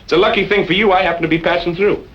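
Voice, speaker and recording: Man playing a high-pitched, needling Western drifter. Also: fairly even intonation, rapid-fire, close to an everyday voice. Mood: taunting, sly. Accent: American Western. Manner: taunting, slangy, garrulous. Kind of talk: old-time radio western acting. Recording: muffled, faintly hissy old-time radio recording.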